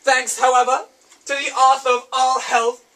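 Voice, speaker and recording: Stern female spirit of wisdom, acted by a woman, high-pitched, bright, theatrical, declamatory, lofty teacher, philosophical stage dialogue. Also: level tone, moderate pace. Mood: grave, emphatic. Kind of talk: dramatic reading of a philosophical dialogue.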